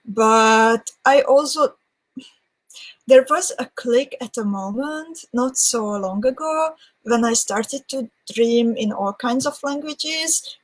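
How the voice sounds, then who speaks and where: A young woman with a Hungarian accent chats on a live stream at a slow, easy pace. Her voice sits a little high, and she thinks aloud with restarts as she answers viewer comments. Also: rough recording. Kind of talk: casual live-stream chat.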